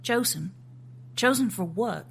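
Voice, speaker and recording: An actress playing a composed, sharp-witted female agent in an audio drama, asking direct, dry questions in a slightly raspy voice. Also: a little high, animated, a little slow, a little hiss or hum. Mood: curious.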